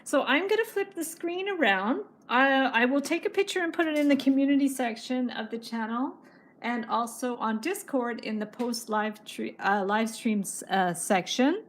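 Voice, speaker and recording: A woman's voice: fairly high pitch, normal speed, a little hiss or hum. A woman hosting a casual craft livestream, chatting as she reads viewer comments, her voice starting to go. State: losing her voice.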